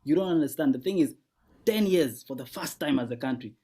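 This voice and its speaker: A man's voice: fairly high pitch, animated, fairly quick, dark tone, slightly rough. A man from Sudan, doing a laid-back stand-up set from home over a video call, repeating words and chuckling through his jokes. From South Sudan. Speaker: guest comedian. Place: home at night.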